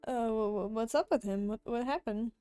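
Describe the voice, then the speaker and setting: Smooth, high-voiced woman streamer. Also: normal speed, mellow, no room sound, soft background music. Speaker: game streamer. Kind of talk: casual gameplay reactions.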